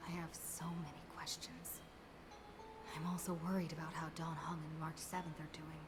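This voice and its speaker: An actress playing a pensive, worried young heroine in a video game, speaking a hushed inner monologue. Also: female voice, low-pitched, little pitch movement, normal speed, close to an everyday voice. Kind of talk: scripted video game character dialogue. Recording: background music under voice.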